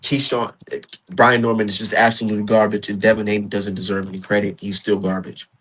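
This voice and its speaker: Man, 30s, casual podcast host, husky voice, heated and repetitive. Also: fairly low pitch, a little fast, rough recording. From Minnesota. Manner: animated, repetitive, heated ranting. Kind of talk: casual boxing talk.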